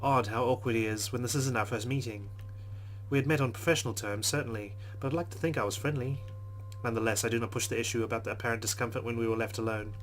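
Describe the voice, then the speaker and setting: A man hosts a let's play and reads a visual novel's narration aloud. His delivery is plain and measured. His voice sits in a mid range. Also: medium pitch, steady tone, slight background noise.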